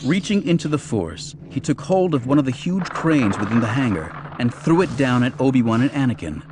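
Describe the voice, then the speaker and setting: A man's voice: medium pitch, very animated, fairly quick, background noise. A man reading an audiobook as narrator, delivering scripted action storytelling in a dramatic style. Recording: music behind the narration.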